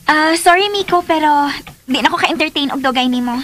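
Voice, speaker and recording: A woman's voice: high voice, a little fast, a bit bright, a little hiss or hum. An actress playing a curt, heartbroken young woman in a radio drama, plaintive, with a Filipino accent. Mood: curt, casual. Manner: emotional, plaintive. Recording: music under the voice.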